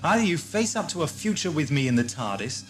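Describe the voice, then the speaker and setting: A man's voice: a little high, very animated, fairly quick. A man acting an impatient, eccentric time traveller in an audio drama, dry and emphatic. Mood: exasperated. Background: music.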